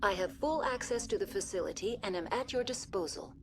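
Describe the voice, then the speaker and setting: A woman acts a female virtual intelligence serving a sci-fi game facility. She is literal-minded, formal and impassive, with a mid-range voice and precise wording. Music is clearly audible behind her. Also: medium pitch, expressive, fairly quick, lightly acted. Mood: impassive, informative. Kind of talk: video game dialogue.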